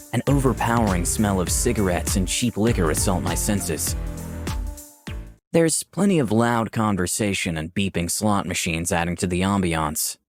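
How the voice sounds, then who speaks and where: Guy acting charming lust demon for a visual novel, kinda low, lots of pitch movement. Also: close to an everyday voice. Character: charming male demon incarnation of lust, composed schemer. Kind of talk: visual novel dialogue and inner monologue.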